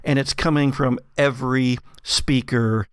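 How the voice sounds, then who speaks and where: A man running a horror tabletop roleplaying game as game master, narrating descriptively with drawn-out emphasis. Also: mid-range voice, steady tone, clean tone, dry recording, clean studio sound.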